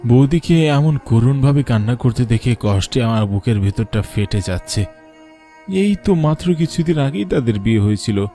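A man's voice: medium pitch, expressive, moderate pace, mellow, faint music behind, close to an everyday voice. A man voicing a devoted younger brother who narrates a cartoon story in an emotional, literary, sorrowful way. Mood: shocked, grieving. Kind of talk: dramatic first-person cartoon narration.

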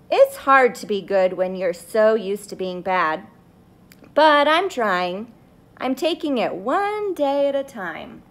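A woman plays a little sunflower seed, grumpy but trying to be good, confessing its story from a children's book. The delivery is dramatic and slow, with big swings in pitch. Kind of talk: children's storybook read-aloud.

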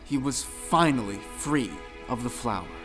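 A man's voice: mid-range voice, animated, measured pace, over music. A man narrating an audio play as a dramatic storyteller.

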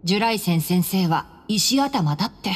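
A woman voicing a calm, clever young male scholar in an anime game, composed and articulate as he explains things. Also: medium pitch, normal speed, in a large hall, slight background noise, lightly acted. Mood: calm, informative.

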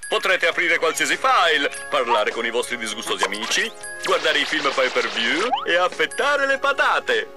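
A scornful villain inventor in a game cartoon. His high voice swings widely as he delivers a grandiose, theatrical sales pitch over clear music. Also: very animated, normal speed. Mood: showy. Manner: grandiose showman.

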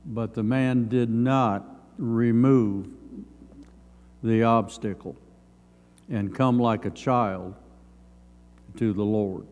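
An older man in his seventies giving a Sunday school Bible lesson, reading from notes in measured, halting short phrases. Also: fairly low pitch, fairly even intonation, darkish tone. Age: older, around 70s. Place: church sanctuary, at a lectern. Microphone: gooseneck lectern microphone. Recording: long hall reverb.

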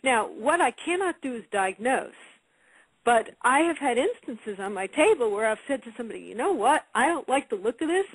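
A woman with a slightly high voice co-hosts a radio call-in show, answering callers in a calm, earnest and agreeable way. The sound is close and dry. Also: moderate pace, husky, no echo, low-quality recording. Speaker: reiki practitioner, co-host.

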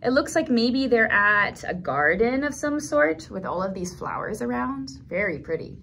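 A woman in her thirties leading a toddler storytime, speaking gently in simple phrases for small children as she reads aloud and comments. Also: a little high, sing-song, moderate pace, vocal fry, a little hiss or hum. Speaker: children's librarian, storytime host. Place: small indoor room at a library.

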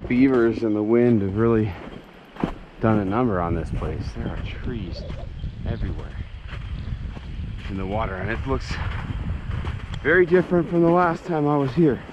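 A young man gives chatty, excitable running commentary outdoors by a mountain stream. His voice sits at an ordinary middle pitch. Clear rushing water runs behind him the whole time, picked up by a small action camera mic. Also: highs cut off, background noise. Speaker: fishing channel host. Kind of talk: casual vlog narration while fishing. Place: outdoors by a snowy mountain creek.

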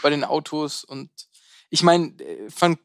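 A man's voice: fairly high pitch, lively intonation, unhurried, dry recording. A man co-hosting a casual film podcast, making sarcastic, dry jokes. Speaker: podcast co-host.

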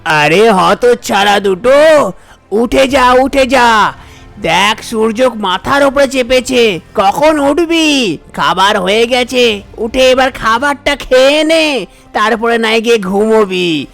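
A woman voicing an older father in a cartoon, scolding his lazy sons slowly and loudly. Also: fairly high pitch, animated, vocal fry, soft background music, full character voice. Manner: slow, scolding.

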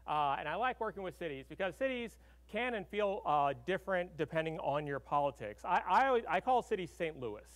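A man's voice: high voice, sing-song, brisk, few pauses, fairly bright tone. A middle-aged man from Arkansas giving a conversational conference keynote, full of fillers and "right?" tags, speaking at a podium in a large hall.

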